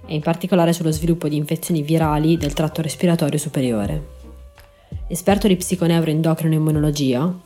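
A woman's voice: deep voice, dark tone. A woman narrating a scripted podcast as host, in an informal, explanatory manner. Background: faint background music.

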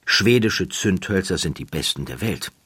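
A man narrates a scripted documentary story in a measured, dramatic way with deliberate pauses. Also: low-pitched, expressive, background noise.

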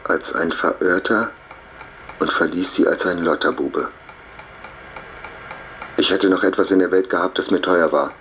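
An actor playing a proud, embittered convict who recounts his downfall in measured, formal phrases in a literary radio play. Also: sits low, fairly bright tone, slight background noise, low-quality recording. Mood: brooding, confessional. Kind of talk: dramatic first-person monologue in a literary radio play. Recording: studio radio play with music underneath.

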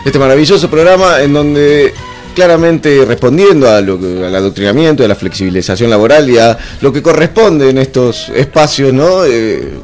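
A man's voice: medium pitch, moderate pace, darkish tone, soft background music. A young Argentine man with an Argentine accent, rambling and self-mocking as he hosts a comedy talk show with many fillers. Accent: Argentine Spanish. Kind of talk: casual comedic banter.

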